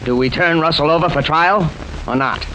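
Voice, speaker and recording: A man's voice: on the high side, moderate pace, pushed and bright, a little hiss or hum, low-quality recording. A man playing a brusque, stubborn jury foreman in an old-time radio drama, blunt and argumentative. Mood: businesslike.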